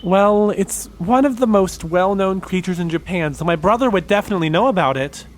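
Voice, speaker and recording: A man playing a thoughtful young folklore buff in an audio drama, explaining things in a measured, conversational way. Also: high voice, a little hiss or hum, close to an everyday voice, low-quality recording.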